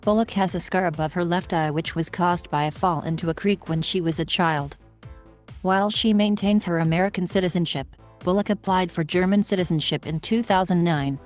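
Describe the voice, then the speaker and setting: A woman reading a scripted biography narration in an even, flat manner. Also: on the low side, fairly quick, highs cut off. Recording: faint music underneath.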